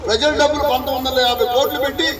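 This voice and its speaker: Male party leader, very high pitched, emphatic rally oratory, recorded outdoors at a distance. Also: steady tone, very fast. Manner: emphatic, repetitive, rhetorical questioning. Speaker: political party district leader. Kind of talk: impassioned outdoor political speech. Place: outdoors at a village gathering. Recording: distant outdoor mic with crowd noise.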